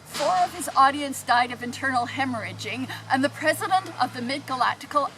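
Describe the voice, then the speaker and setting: Woman as deadpan comedy narrator, high pitch, bright tone, scripted delivery, noisy ambience behind. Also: fairly quick, lightly acted. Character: deadpan guidebook narrator of absurd cosmic facts. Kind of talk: scripted comic radio narration.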